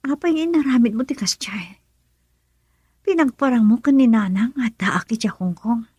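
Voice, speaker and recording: A woman acting a wronged, regretful young woman in a radio drama, breathy and pleading, with an Ilocano Filipino accent. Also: fairly high pitch, animated, moderate pace, darkish tone, dry recording, clean studio sound. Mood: hurt.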